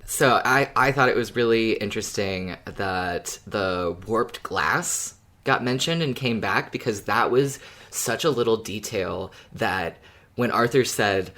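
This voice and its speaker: A woman's voice: very deep voice, animated, measured pace, a bit bright. Woman casually discussing a favorite show. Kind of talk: casual fan discussion of an audio drama.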